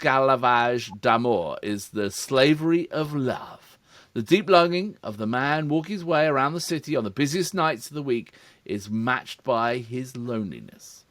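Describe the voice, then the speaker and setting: A man's voice: medium pitch, lively intonation, moderate pace, fairly bright tone, no room sound. A middle-aged man, co-hosting a reaction video in casual chat, reading out notes with asides. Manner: chatty, reads background notes with asides.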